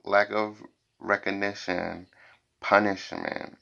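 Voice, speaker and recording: A man's voice: fairly low pitch, flat delivery, slow pace, gravelly, sharp tone, dull audio. A man reading tarot cards for viewers in an informal, improvised way, blunt and emphatic, repeating phrases and swearing at times. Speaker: tarot and psychic reader hosting a reading.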